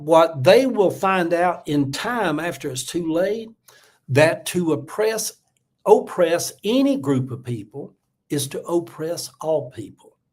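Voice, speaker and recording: A man's voice: on the high side, a little mellow. A seventy-year-old man, talking in a casual livestream, reading out viewer comments and giving earnest, repeated warnings with lots of ums and uhs. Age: 70s. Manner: earnest, repetitive, warning tone, many fillers. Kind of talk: casual political livestream talk.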